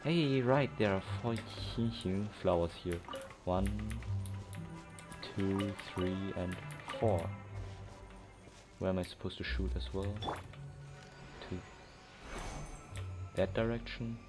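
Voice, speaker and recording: A man's voice: low-pitched, takes it slowly, pauses often. A man casually commentating as he plays a video game, rambling and thinking aloud. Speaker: gameplay commentator. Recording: game music loud behind. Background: game music.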